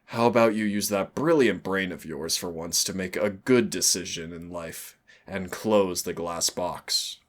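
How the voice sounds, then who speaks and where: A very low-voiced male demon, acted by a man in an audio roleplay. He drawls at a slow pace, sardonic and cocky, with a teasing, exasperated fondness for the human he trains. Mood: dry, scolding. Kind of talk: scripted roleplay audio acting.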